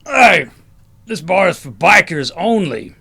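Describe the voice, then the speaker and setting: A man voicing a gruff, barking biker in a hammy comedy-script reading. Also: high voice, very animated, slow pace, bright, forceful tone, exaggerated voice. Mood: loud, excited. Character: gruff, loud male biker guarding a bar.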